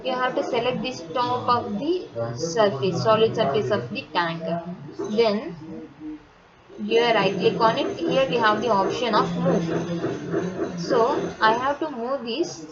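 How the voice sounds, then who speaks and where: A woman with an Indian accent narrating a step-by-step software tutorial in a halting, instructional manner. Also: on the high side, over a noisy crowd. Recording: muffled, very noisy, vehicle sounds behind.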